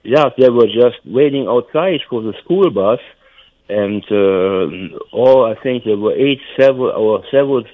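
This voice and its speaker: An elderly man from Germany with a German accent, reminiscing casually in a halting, rambling way and repeating himself. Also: medium pitch, lively intonation, dry recording. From North Rhine-Westphalia, Germany. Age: 70s or older. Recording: tinny phone line.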